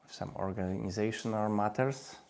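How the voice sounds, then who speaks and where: A man's voice: fairly low pitch, normal speed, vocal fry, a little mellow. Polish-accented man hosting a live stream. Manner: repeats phrases, coaxing viewers to comment. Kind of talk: live-stream webinar talk.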